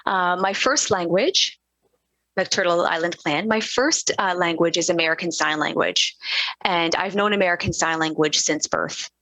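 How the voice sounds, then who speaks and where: A woman interpreting a signing panelist aloud in the first person on a video call, measured and halting with frequent fillers. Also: fairly low pitch, pushed and bright, no room sound, rough recording. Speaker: sign language interpreter voicing a panelist.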